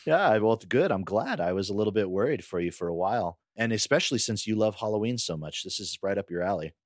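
Male co-host with a very low voice, relaxed podcast conversation, very dry close sound. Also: fast, no echo. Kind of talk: casual conversational discussion.

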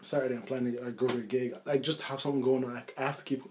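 A man's voice: medium pitch, little pitch movement, racing, a little mellow, a little hiss or hum. A young man who grew up in Ireland, chatting casually on a podcast and rambling with lots of restarts and fillers. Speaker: podcast co-host. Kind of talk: casual chat.

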